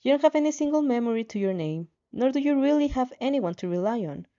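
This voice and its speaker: A woman's voice: sits high, animated, fast, dark tone, dull audio. A woman reading a story expressively, with casual, playful asides and the odd stumble over words. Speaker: fan fiction reader reacting as she reads.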